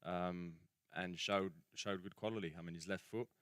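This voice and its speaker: A man's voice: low-pitched, fairly even intonation, normal speed, fairly bright tone, clear, resonant tone, dry recording, studio quality. A young man with a mixed English and Australian accent, chatting casually as a football guest on a radio show. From England. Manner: laid-back, slangy, trailing fillers. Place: radio studio.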